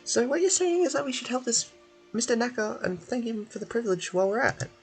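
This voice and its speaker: A man voicing a small, childlike game companion in a squeaky falsetto. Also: very animated, quick pace, gravelly, exaggerated voice. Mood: indignant. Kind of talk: video game character voice-over. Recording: music behind.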